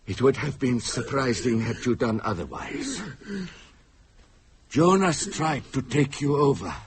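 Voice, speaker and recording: An actor playing a grave older spirit medium in a supernatural radio drama, with a rough husky voice and formal, solemn phrasing. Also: male voice, medium pitch, sing-song, a little slow, noisy background. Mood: grave, regretful. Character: grave older male spirit medium, Mister Asif, weary and intense.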